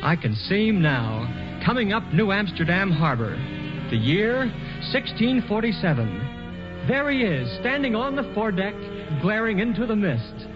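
A man's voice: high-pitched, big pitch swings, measured pace, over music. An actor playing a whimsical young writer in his twenties, musing wryly to himself in a 1940s radio play. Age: 20s. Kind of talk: 1940s radio acting, narrating and singing. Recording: muffled 1940s radio with orchestra behind.

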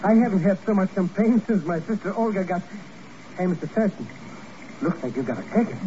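An actor playing an excitable, chatty, nervous sidekick to a secret agent in a comic, complaining 1950s radio drama style. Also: male voice, sits high, brisk. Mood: excited. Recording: muffled, noisy old radio recording.

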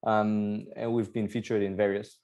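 A man's voice: lowish voice, little pitch movement, normal speed, darkish tone, lo-fi sound. A young man from Belgium, co-founder of a startup, chatting as a podcast guest, upbeat and explaining at length with fillers. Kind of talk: casual podcast interview.

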